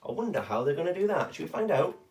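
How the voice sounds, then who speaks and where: A man's voice: fairly high pitch, very animated, fast, a little mellow. A man reading a rhyming children's picture book aloud expressively, with short chatty asides to young listeners.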